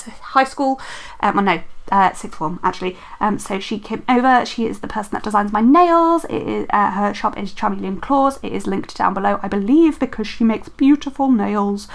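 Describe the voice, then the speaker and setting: A woman's voice: medium pitch, creaky. A young woman chatting casually in a voice-over, rambling with lots of ums and corrections, her throat a little dry. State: slightly dry throat from dehydration. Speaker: planner vlogger. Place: quiet home room.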